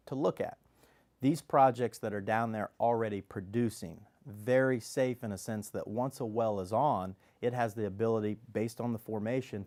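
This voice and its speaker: Executive guest, male, low voice, blended Gulf and Midwest accent, upbeat pitch. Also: a little mellow. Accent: blended Texas, Louisiana and Midwest. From Kansas. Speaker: oil company CEO guest. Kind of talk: sales pitch interview answers.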